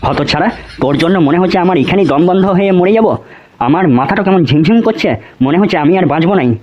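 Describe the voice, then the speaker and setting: An exasperated cartoon village teacher acted by a man, scolding at a quick clip in a fairly high male voice. His comes through a slightly dull, lo-fi recording. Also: darkish tone, a little hiss or hum, lightly acted. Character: exasperated, scolding village schoolmaster, easily driven to despair. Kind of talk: comedy cartoon voice acting.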